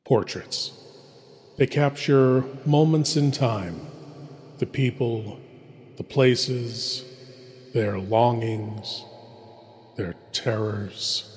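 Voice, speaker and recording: A man hosting a horror show with theatrical, ominous narration full of dramatic pauses, in cavernous reverb. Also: mid-range voice, a little mellow, slight background noise. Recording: long cavernous reverb, music behind.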